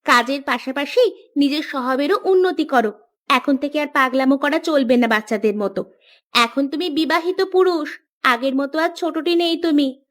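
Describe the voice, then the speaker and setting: A woman plays a sensible young wife from a village cartoon. Her voice sits high, and she talks in a bossy, sharp-tongued way, practical about household matters. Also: moderate pace, lightly acted. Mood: scolding. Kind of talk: cartoon dialogue.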